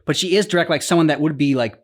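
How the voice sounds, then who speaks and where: A man co-hosting a reaction channel, casually working through his points in a halting way and acting out imagined dialogue. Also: a little high.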